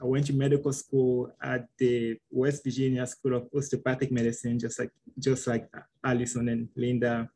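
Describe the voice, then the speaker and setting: A young man with a mid-pitched voice hosts a casual webinar over a video call. He talks in a relaxed, friendly way and leans on fillers as he moves between speakers. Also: moderate pace, a little hiss or hum. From Cameroon, raised in Baltimore. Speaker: webinar host, medical resident.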